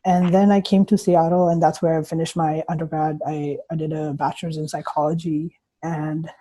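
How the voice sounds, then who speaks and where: A young man who grew up in Punjab, answering interview questions on a video call in a hesitant, halting way with many uhs and restarts. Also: a little high, level tone, warm tone. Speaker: guest poet. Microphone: computer mic on video call.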